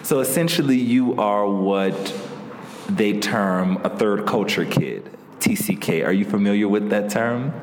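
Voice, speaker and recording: A man's voice: fairly low pitch, unhurried, a little hiss or hum. A man co-hosting a podcast, introducing the guest in a formal, announcer-like way and asking her questions. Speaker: podcast co-host.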